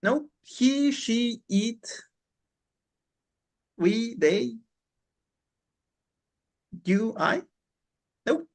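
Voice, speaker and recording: A man's voice: very high voice, expressive, drawn-out words, pauses often. Male language teacher for an online class, encouraging, informal, repeats words to drive points home. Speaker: English teacher. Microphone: online class call.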